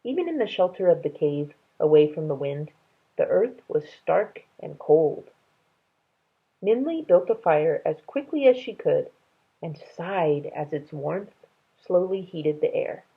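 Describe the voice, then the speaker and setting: Slow, gravelly woman reading a story aloud. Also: low voice, lively intonation, phone-quality sound. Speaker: read-aloud reader. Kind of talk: storytelling narration to children.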